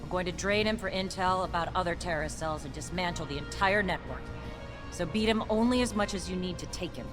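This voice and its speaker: An actress as a ruthless army captain in a video game. Her voice is low, and she issues clipped, impatient commands with total authority. Loud music plays underneath. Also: a little fast, close to an everyday voice. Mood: brisk, commanding. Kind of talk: video game dramatic acting.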